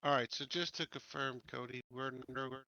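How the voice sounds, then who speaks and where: Bright-voiced man, casual game player. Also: medium pitch, fairly even intonation, normal speed, highs cut off. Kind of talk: casual questioning table talk.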